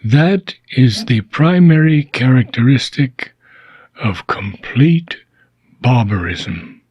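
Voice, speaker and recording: A man with a husky voice reads philosophical prose aloud as an audiobook, solemn and deliberate, pausing between short phrases. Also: medium pitch, expressive, a little mellow, no room sound, highs cut off. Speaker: reader of a philosophy book. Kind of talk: slow, measured audiobook reading of philosophical prose.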